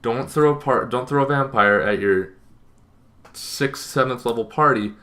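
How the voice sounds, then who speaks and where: Bright-voiced young man explaining informally. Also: mid-range voice, a little slow. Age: young adult. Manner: animated, conversational, thinks aloud with asides. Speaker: host of a tabletop roleplaying advice channel. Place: small bedroom, indoors.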